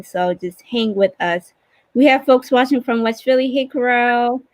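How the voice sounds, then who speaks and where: High-voiced woman hosting a livestream. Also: no echo. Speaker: livestream host. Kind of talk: unscripted live hosting, casual chat. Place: remote video call.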